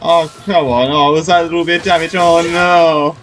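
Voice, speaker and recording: A man's voice: on the high side, flat delivery, moderate pace, a bit bright. A man giving casual let's play commentary, reactive and playful, often repeating short phrases. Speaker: gaming video creator.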